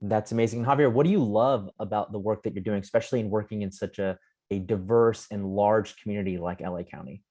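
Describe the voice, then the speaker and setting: A man's voice: on the low side, fairly even intonation, fast, dark tone. A man hosting an online panel in a casual, chummy way, putting questions to guests. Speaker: host of an online community panel call.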